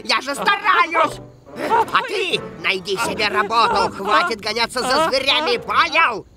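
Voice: high voice, big pitch swings, unhurried, over loud music. A performer voicing a hapless, irritable male woodcutter in a cartoon dub, exasperated and blustering.

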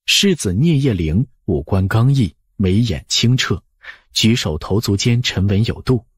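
Low, husky, expressive male narrator. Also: a little slow, no echo, clean studio sound. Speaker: audiobook narrator. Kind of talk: scripted story narration.

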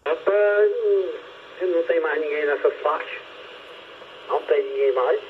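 High-voiced man over narrow-band radio. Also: a little slow, a little hiss or hum. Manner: colloquial, repeats his phrases. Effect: two-way radio. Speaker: amateur radio operator. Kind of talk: casual two-way radio chat.

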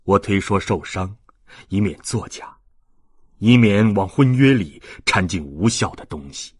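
A man voicing a sorrowful old ex-convict in an audiobook, solemn and halting, with a husky voice. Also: lowish voice, lively intonation, no room sound, studio quality, pitched below the performer's own voice. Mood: grave, confessing. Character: sorrowful old male ex-convict, grave, confessing.

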